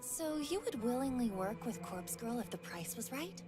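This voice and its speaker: A woman acts an inquisitive, calculating young woman from a visual novel. The voice sits high and moves in lively swings, questioning with studied innocence. Loud music plays behind it. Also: normal speed, close to an everyday voice. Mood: probing, coy.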